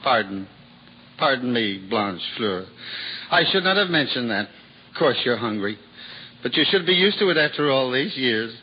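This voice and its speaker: An actor playing a weary, hungry old wandering juggler with a lilt, in a 1950s radio play, wistful and self-mocking. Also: male voice, mid-range voice, sing-song, normal speed, pauses often, breathy edge. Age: older. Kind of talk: 1950s radio drama acting. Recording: dull old radio broadcast with crowd noise.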